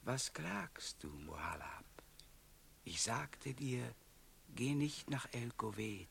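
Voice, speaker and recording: An actor playing a calm, enigmatic wandering companion in a German radio play, speaking in a measured, cryptic, hushed way. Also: male voice, medium pitch, gravelly, slight background noise, full character voice. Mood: calm, knowing.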